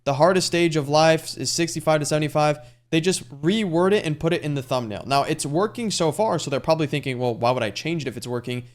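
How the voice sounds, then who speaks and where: Fast-talking young male YouTube coach. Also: a little high. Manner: fast, casual, salesy talk with frequent "like". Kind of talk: screen-share tutorial.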